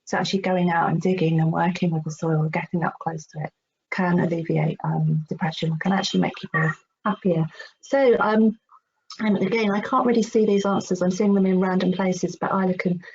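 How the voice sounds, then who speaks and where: Mellow, low-voiced woman leading an online workshop. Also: fairly even intonation, brisk. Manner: hesitant, chatty, encouraging. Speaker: workshop presenter. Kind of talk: informal online workshop talk. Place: online video call. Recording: dull, noisy online call audio.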